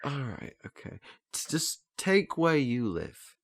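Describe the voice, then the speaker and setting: A man's voice: medium pitch, very animated, slow pace, gravelly, a bit bright, dry recording, studio quality, full character voice. A man playing an earnest, flustered learner in a naturalistic audio drama, hesitant and stammering as he restarts his phrases. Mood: patient, instructing. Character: earnest, flustered male learner eager to master memory techniques.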